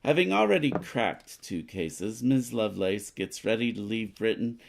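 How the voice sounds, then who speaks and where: A man with a lowish voice and heavy vocal fry co-hosts a board game session. He talks casually with his co-player and reads passages of game text aloud. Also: animated, normal speed. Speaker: co-host of board game playthrough.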